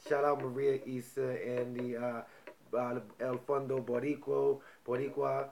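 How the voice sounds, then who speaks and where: Gravelly guy hosting a podcast, swears a lot, gets loud when hyped. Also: medium pitch, normal speed. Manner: loose, profane, gets loud and animated when excited. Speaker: podcast host. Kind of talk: casual chat.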